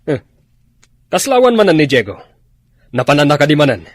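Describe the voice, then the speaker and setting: An actor. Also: male voice, fairly high pitch, sing-song, a little fast, dry recording. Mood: disgruntled. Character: stern male military commander on watch. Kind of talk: radio drama acting.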